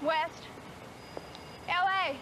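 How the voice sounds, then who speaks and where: A feisty, guarded young hitchhiker. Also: female voice, very high voice, fairly even intonation, very slow, bright, forceful tone, a little hiss or hum, full character voice, low-quality recording. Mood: upbeat. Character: feisty, guarded young woman hitchhiker, aspiring country singer. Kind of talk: naturalistic TV-movie drama acting.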